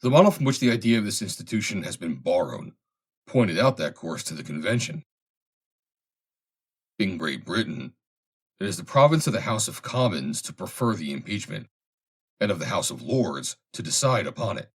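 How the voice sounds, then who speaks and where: Low, husky man reading formally. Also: sing-song. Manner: measured, formal, deliberate phrasing. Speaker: reader, narrator. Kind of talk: scripted reading of a formal historical essay.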